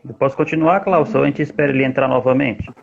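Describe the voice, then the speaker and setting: A man's voice: medium pitch, steady tone, fast talker, creaky, a little hiss or hum. A man in his twenties, a guest poet, politely greeting everyone and introducing himself on an online live. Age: 20s. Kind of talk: casual introduction on a live stream. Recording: thin phone-like live stream audio.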